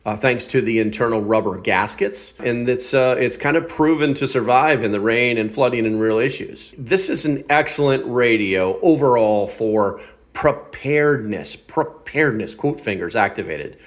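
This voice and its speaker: A man's voice: medium pitch, normal speed. A man hosting a casual hands-on product review, speaking in a blunt, informal, opinionated way. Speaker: product reviewer and channel host.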